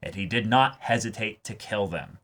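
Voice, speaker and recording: Male reaction-video host, bright forward voice, animated casual chat with halting, repeated phrasing. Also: lowish voice. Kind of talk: casual chat, reading out viewer comments.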